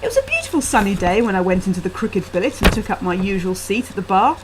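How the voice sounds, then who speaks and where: A woman's voice: mid-range voice, quick pace, background noise. A woman reading her own gothic short story expressively, recorded on a laptop webcam microphone. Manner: expressive, acting out the dialogue. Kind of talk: dramatic amateur reading of gothic fiction. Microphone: built-in laptop webcam microphone.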